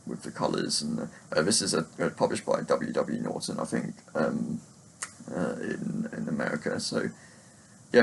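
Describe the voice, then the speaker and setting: Low, creaky-voiced man chatting hesitantly. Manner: hesitant, halting, rambling. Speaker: book reviewer vlogger. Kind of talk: casual unscripted book chat to camera.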